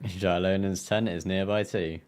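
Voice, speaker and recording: Low-voiced man streaming a game. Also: steady tone, measured pace, darkish tone, a little hiss or hum. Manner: playful, reacting to the game. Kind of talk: casual game livestream commentary.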